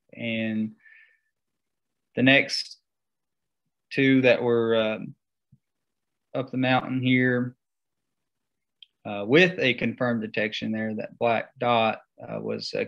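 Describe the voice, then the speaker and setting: A young man presenting slides in a seminar talk over a video call, halting and full of fillers. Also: mid-range voice, creaky, fairly bright tone, muffled recording. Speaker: guest speaker.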